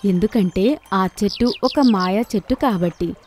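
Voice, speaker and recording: A woman's voice: mid-range voice, normal speed, darkish tone, slight background noise. A woman telling scripted children's moral stories as narrator. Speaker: storyteller, narrator.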